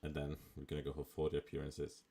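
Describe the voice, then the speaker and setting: A man with a raspy voice, chatting casually as a live streamer while he plays a management game. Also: extremely low pitch, fast. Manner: relaxed, chatty, with fillers. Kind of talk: casual live gameplay commentary.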